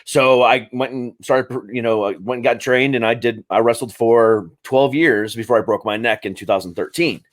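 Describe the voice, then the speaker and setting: A man's voice: medium pitch, normal speed, few pauses. A man in his late thirties hosting a podcast, talking casually in a rambling way with many fillers and restarts. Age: 30s. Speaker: podcast host. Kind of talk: casual interview chat.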